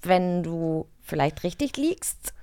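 A woman chatting casually as a podcast host, with an animated, playful way of telling stories. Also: lowish voice, slow pace, background noise. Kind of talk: casual chat.

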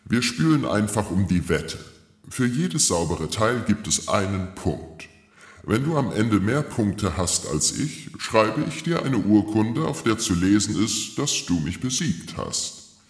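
Sly giant for a fairy-tale play: low, roomy sound, hammy and easygoing. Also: male voice, big pitch swings, measured pace. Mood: sly, good-natured. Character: sly giant who feigns being beaten, easygoing. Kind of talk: fairy-tale audio drama acting.

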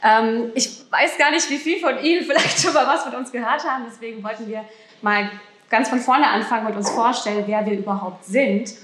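A woman's voice: a little high, a little fast, a bit bright. A young woman hosting a podcast live on stage, chatty and laughing often. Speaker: podcast host, assistant director. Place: theater restaurant, indoors. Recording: echoey room.

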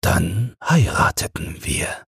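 Man playing a deep, gravelly old sailor. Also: sing-song, slow, fairly bright tone, no room sound, clean studio sound, exaggerated voice. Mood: fond. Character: old retired sailor, affectionate, reminiscing husband. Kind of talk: audiobook fairy-tale character reading.

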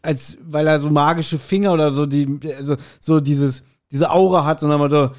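A man's voice: a little high, steady tone, normal speed. A man chatting casually as a podcast co-host, recalling stories in a loose, rambling way as he thinks aloud. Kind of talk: casual chat, personal anecdotes.

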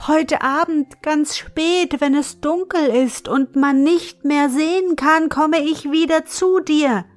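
A woman voicing an eager, impatient young village girl with a fine, excitable voice in a fairy-tale reading. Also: high-pitched, slow, dry recording, faint music behind, voice pushed up. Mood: calling out. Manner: excitable, sighing, exclaiming with longing.

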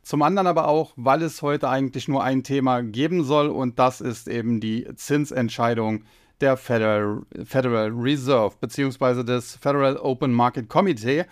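A man from Germany hosting a solo finance podcast, explaining at length with many hesitations, his voice slightly hoarse from a cold. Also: mid-range voice, normal speed. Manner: explanatory, frequent filler hesitations. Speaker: podcast host.

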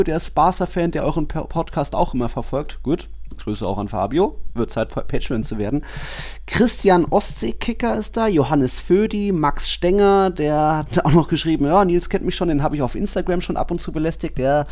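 A man's voice: fairly high pitch, a little mellow. A man chatting casually as a sports podcast co-host, with a conversational, lightly teasing way of talking.

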